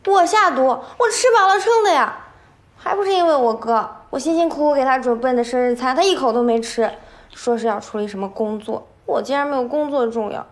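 An actress playing a blunt, indignant younger sister in a romantic TV drama. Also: female voice, high voice, brisk, slight background noise, natural voice. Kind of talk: naturalistic TV drama acting.

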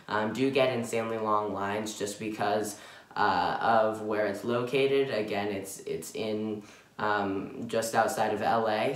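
A man's voice: mid-range voice, fairly bright tone, far from the mic. A teenage boy reviewing a roller coaster in a casual, rambling way, full of ums and self-corrections, filmed in his bedroom by a camera a few feet away. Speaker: vlogger. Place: bedroom, indoors. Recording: roomy sound from off-mic.

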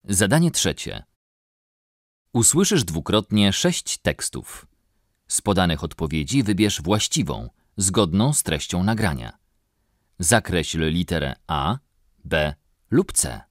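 A man reading formal instructions for a listening exam in a slow announcing manner. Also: deep voice, sing-song, no room sound, clean studio sound.

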